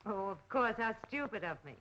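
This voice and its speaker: An actress playing a poised, gracious countess in 1930s film style. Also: female voice, mid-range voice, lively intonation, brisk, a bit bright, close to an everyday voice. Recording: muffled, noisy 1930s film soundtrack.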